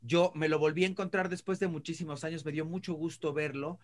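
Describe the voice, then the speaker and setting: A middle-aged Mexican man giving casual opinions as a talk show panelist, halting and rephrasing as he goes. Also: a little high, fairly even intonation. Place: indoor room, on a video call. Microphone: video call.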